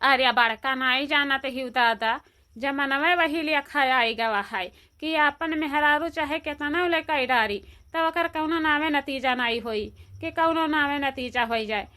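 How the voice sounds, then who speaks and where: Woman acting a gossipy cartoon village woman, Awadhi accent, high bright voice, excitable, complaining, exclamatory. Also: measured pace. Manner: repetitive, exclamatory.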